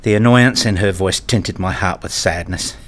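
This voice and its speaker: Man playing a wistful, low-voiced father narrator. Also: moderate pace, close to an everyday voice. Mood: wistful, reflective. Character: wistful, fussy married father and toy enthusiast, narrating. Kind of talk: scripted first-person radio play narration.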